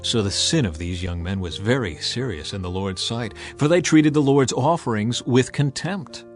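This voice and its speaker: A man narrating a dramatized scripture reading in a measured, solemn way. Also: medium pitch, expressive, faint music behind.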